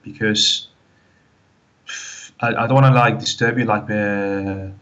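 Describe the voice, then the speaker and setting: A man's voice: lowish voice, steady tone, slow, fairly bright tone. A man from the Canary Islands with a Spanish accent mixed with British English, calling in and telling his story in a rambling way, full of fillers. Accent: Spanish with British English mix. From Canary Islands, Spain. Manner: rambling, many fillers and restarts. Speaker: caller. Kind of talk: phoned-in personal story.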